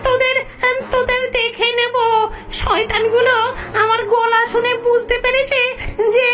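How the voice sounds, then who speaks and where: A woman's voice: very high-pitched, little pitch movement, unhurried. A woman voicing a wicked, cunning, hungry witch monster in a cartoon, drawing out her words with scheming menace. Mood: angry, frustrated. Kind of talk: cartoon villain dialogue.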